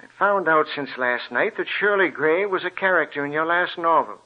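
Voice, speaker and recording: A man's voice: on the high side, normal speed, close to an everyday voice. A man playing an earnest doctor. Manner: earnest, persuading. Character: earnest male doctor, reasoning friend. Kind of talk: 1940s radio drama acting. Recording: dull old radio broadcast.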